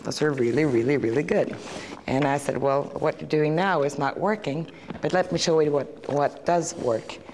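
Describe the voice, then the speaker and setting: A woman's voice: sits low, normal speed, darkish tone, a little hiss or hum. A woman horse trainer explaining clicker training step by step in an indoor riding arena. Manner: explains step by step, laughs easily. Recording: echoey arena.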